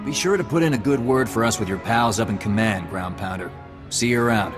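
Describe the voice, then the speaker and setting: A man's voice: on the low side, brisk, music in the background, natural voice. A man as a wisecracking starfighter pilot. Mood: grateful, joking. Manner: joking, casual. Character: wisecracking, friendly male starfighter pilot, second in command. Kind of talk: video game cutscene acting.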